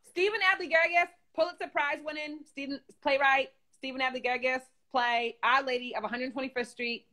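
A man voicing a brash young woman puppet host with a New York accent, loud and slangy while reading the news. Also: very high-pitched, exaggerated voice. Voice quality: loud, profane, slangy, bubbly. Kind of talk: comedic puppet news chat.

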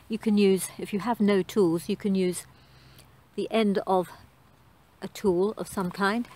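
A middle-aged woman chatting casually about her garden while filming outdoors on a phone, with frequent ums. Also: mid-range voice. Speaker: gardening vlogger. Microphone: handheld phone camera.

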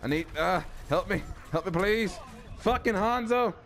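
A man's voice: high voice, a little slow, fairly bright tone. A man playing an online shooter with friends, cursing and calling out plays in casual chat. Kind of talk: casual profane game chat.

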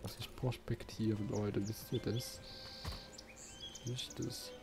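Unhurried male let's player over game music. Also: fairly low pitch, flat delivery. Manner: musing aloud, addressing viewers. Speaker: let's play host. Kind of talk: casual gameplay commentary.

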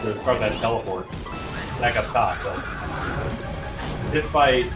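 A man's voice: medium pitch, slow. Roomy-sounding man commentating a speedrun. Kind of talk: casual play-by-play banter. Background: video game music.

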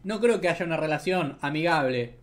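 Young argentine man, sarcastic running commentary, slightly high voice, casual tag questions. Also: lively intonation, fairly quick. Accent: Argentine Spanish. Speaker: political commentary host. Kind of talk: casual political commentary over clips.

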